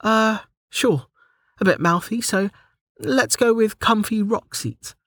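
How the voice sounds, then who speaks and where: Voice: mid-range voice, takes it slowly, a bit bright, no room sound, studio quality, voice pushed up. A narrator voicing a young female dungeon spirit who is chatty, bossy and playful, speaking casually in an audiobook story. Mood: casual, unsure. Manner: casual, hesitant, mock-stern.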